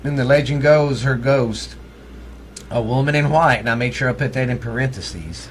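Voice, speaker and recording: A man's voice: medium pitch, normal speed, slight background noise. A middle-aged man from Texas with a Texan accent, chatting casually as a podcast co-host in a blunt, folksy, profane way. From Texas, United States. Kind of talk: casual ghost-story chat.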